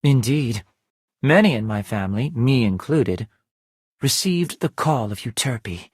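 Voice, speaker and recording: An actor playing a refined, reserved gentleman musician in a video game, speaking in a genteel, formal and guarded way. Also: mid-range voice, expressive, a little slow, slightly rough, no room sound, clean studio sound. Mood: polite, guarded. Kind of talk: scripted game dialogue.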